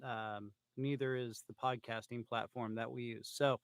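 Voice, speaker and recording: A man's voice: mid-range voice, fairly even intonation, moderate pace, no echo. A man from California hosting a casual podcast, rambling with lots of fillers and restarts, a bit loopy on pain pills, talking into an iPhone. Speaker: podcast host. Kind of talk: casual chat.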